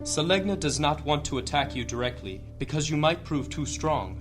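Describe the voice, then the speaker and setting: An actor playing a grave, earnest church leader, speaking in measured, oratorical fashion in a fantasy audio drama. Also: male voice, on the high side, expressive, music in the background, close to an everyday voice. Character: solemn male high church leader, earnest and weighty.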